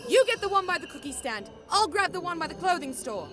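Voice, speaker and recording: A woman's voice: extremely high pitch, very animated, quick pace, music in the background. A woman playing a bossy, feisty wizard ghost-hunter in a radio drama, sardonic and exclamatory. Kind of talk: fan radio drama acting.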